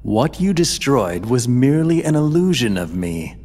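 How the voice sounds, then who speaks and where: An actor playing a cold, menacing video game villain, speaking in a theatrical, ominous, declamatory way. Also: male voice, medium pitch, sing-song, normal speed. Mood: scornful, imperious. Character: grandiose, cold, menacing male villain wielding dark power. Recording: loud orchestral music behind.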